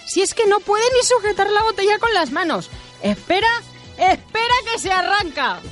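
A woman acting an excitable, gossipy party worker in a comic radio drama. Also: extremely high pitch, normal speed. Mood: gossipy excitement. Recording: party music behind the voice.

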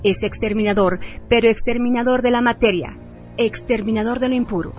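A woman reading a scripted radio narration in a solemn, deliberate manner. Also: a little high, a little mellow. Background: music.

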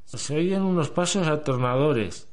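Narrador de voz algo aguda. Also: male voice, on the high side, unhurried, heavy background noise. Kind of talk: scripted storytelling narration for amateur radio drama. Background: music.

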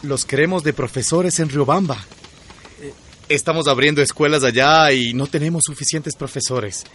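A man's voice: fairly high pitch, normal speed, fairly bright tone, slight background noise, lightly acted. A man playing a jovial, direct army colonel in a radio drama. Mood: businesslike.

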